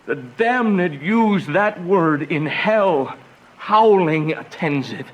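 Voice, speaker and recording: Man acting a despairing young lover, high-pitched and slow. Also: animated, low-quality recording. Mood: anguished. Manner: anguished, theatrical, slow declamation. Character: young banished Romeo, despairing, passionate lover. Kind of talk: Shakespearean radio drama acting.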